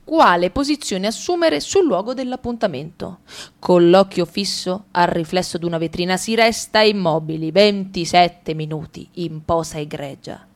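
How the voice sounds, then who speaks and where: A woman reading a comic monologue for radio theatre, ticking off lists briskly with ironic, playful emphasis. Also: on the low side. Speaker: radio drama reader.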